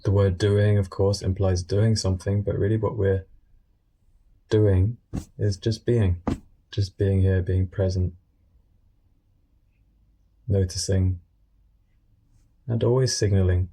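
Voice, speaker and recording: A man guiding a meditation in a gentle, unscripted way, speaking informally and soothingly in short phrases with pauses. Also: low-pitched, little pitch movement, breathy edge, poor audio. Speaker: meditation guide.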